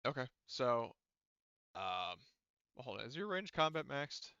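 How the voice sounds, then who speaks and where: A man acting as game master, talking players through the game in a loose, rambling way. His voice is bright and smooth, recorded dry and a little dull. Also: medium pitch, big pitch swings, a little slow, no room sound. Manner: rambling, conversational explaining. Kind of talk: casual narrating of a tabletop game.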